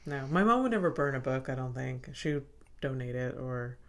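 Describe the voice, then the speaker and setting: A woman's voice: a bass voice, animated, fairly quick. A young adult woman co-hosting a podcast, chatting casually, laughing often and filling her talk with "like". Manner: laughs often, lots of filler "like". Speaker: podcast co-host. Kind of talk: casual chat.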